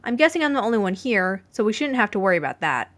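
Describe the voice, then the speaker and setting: A woman voicing a composed, deadpan mafioso man in a fan fiction reading, dry and matter-of-fact. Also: medium pitch, fast. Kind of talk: fan fiction audiobook character voice.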